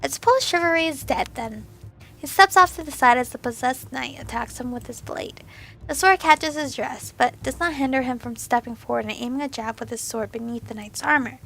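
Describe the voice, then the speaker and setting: Hoarse young woman reading a visual novel. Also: high-pitched, fairly quick, a bit bright. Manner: casual asides between earnest narration. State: hoarse, losing her voice. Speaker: let's play host.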